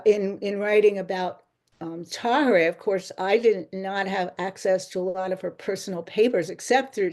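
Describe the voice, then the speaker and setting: A woman author answering interview questions in a chatty, rambling way, often pausing and laughing lightly. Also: fairly low pitch, darkish tone.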